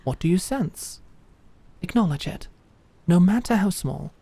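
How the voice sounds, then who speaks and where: A woman acting in an audio drama as a calm, commanding knight who mentors with measured, deliberate instruction and encouragement. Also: fairly low pitch, creaky, husky, full character voice. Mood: calm, guiding. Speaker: voice actor.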